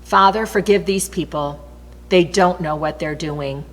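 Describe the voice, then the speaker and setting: A woman's voice: sits low, measured pace. A woman reading the words of a solemn, earnest male prophet and teacher in a Bible story. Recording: long reverberant hall echo.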